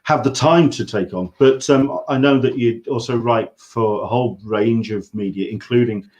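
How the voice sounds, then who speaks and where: Halting English male interview host. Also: mid-range voice, darkish tone, lo-fi sound. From England. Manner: halting, searching for words. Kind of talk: casual livestream interview.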